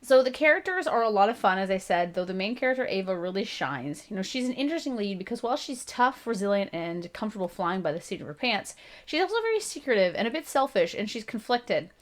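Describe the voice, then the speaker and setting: A Canadian woman in her thirties with a slightly gravelly voice, hosting a casual book review channel, chatty and self-deprecating. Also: mid-range voice, sing-song, quick pace. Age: 30s. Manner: chatty and self-deprecating, with frequent laughs and restarts.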